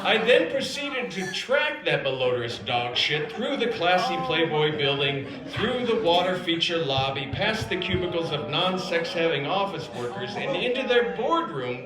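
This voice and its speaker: Animated, high-pitched American man telling stories. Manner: theatrical, deliberate pauses and emphatic delivery. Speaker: storyteller performing own written piece. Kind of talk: comedic live storytelling, read from script. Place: theater stage before an audience. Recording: roomy live stage recording. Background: audience laughter.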